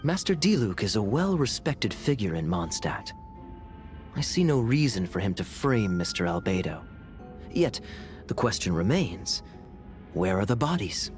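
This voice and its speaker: A man's voice: on the low side, sing-song, unhurried, soft background music. A man playing a suave, sceptical knight in a video game, questioning in a pointed, sardonic way. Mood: wry, probing. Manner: smooth-talking, pointed, sardonic.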